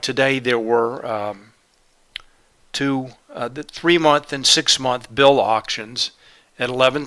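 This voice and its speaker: A man's voice: mid-range voice, bright, forceful tone. A man presenting a live trading webinar in a methodical, step-by-step way, his voice a little congested from a cold. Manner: methodical, lists steps and items plainly. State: congested with a cold, sore throat.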